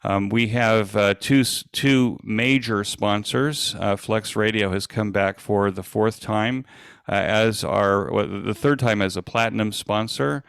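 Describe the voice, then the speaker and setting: Low-pitched, gravelly man presenting. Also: unhurried, a bit bright, dry recording, clean studio sound. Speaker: event organizer presenting. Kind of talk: informal slide presentation.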